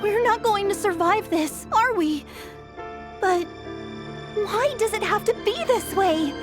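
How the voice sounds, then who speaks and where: An actress playing a small, anxious young persecuted character in a video game, pleading fearfully, with music behind. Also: female voice, extremely high pitch, expressive, slow pace, heavily acted. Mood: desperate, pleading. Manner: anxious, imploring. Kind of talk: scripted video game voice acting. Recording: game dialogue over clear music.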